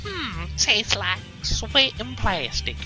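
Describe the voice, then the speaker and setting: A man voicing an oblivious, feisty old granny pony with a strained, high voice and a folksy rural drawl, in a comic fan fiction reading. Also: sing-song, slow pace, music in the background, heavily acted, poor audio. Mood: musing. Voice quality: strained, high-pitched elderly voice.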